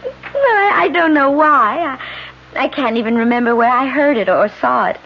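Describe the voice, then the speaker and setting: A woman's voice: sits high, expressive, normal speed. An actress playing an awestruck, frightened young woman in a 1970s radio drama, speaking in breathless, faltering exclamations. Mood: bewildered. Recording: muffled 1970s radio broadcast with music.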